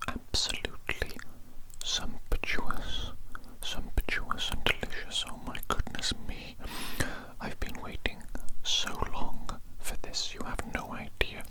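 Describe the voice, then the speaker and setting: Man whispering as an evil genteel cannibal doctor. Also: lightly acted. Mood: gloating, hungry anticipation. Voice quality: breathy unvoiced whisper. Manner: mock-genteel, slow savoring, repeats phrases softly. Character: evil, polite cannibal doctor savoring his victim. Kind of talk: whispered ASMR roleplay.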